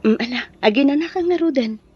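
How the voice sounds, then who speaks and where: An actress with an Ilocano Filipino accent playing a nagging, suspicious wife in an audio drama. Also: female voice, high-pitched, animated, fast talker, muffled recording. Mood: coaxing, probing.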